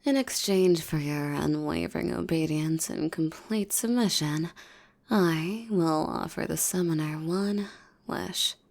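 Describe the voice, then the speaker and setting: A woman playing a possessive, menacing female demon in an intimate roleplay, speaking formally and commandingly, coaxing and often breathy. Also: sits low, unhurried, a little mellow. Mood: coldly commanding. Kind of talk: roleplay ASMR acting, intimate monologue.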